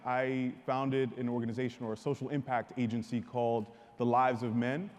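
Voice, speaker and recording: A man's voice: mid-range voice, darkish tone. A man from the Dominican Republic speaking earnestly as a panelist at a live panel discussion. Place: large hall, live audience. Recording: reverberant hall.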